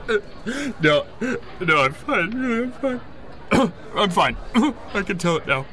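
An earnest, rattled imaginary pal, acted by a man in a comic audio play. His higher male voice moves slowly and haltingly, repeating itself as he testifies. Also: sing-song, bright, forceful tone, heavily acted. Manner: halting, throat-clearing, repeating himself. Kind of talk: comedic monologue in audio drama.

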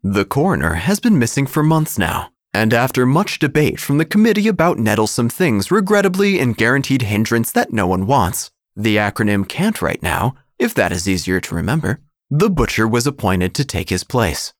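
A man narrating a story in the first person, his voice rising and falling in a lively way. His delivery is dry and wry, with a mid-range pitch, in a scripted drama. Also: moderate pace, no echo. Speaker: narrator and obituary writer character.

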